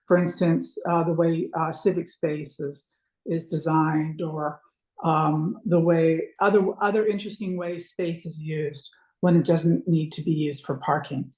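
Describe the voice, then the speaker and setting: Halting woman asking a question. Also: deep voice, fairly even intonation, mellow, low-quality recording. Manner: tentative, halting, rephrasing. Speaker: audience member asking a question. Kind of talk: spoken webinar question.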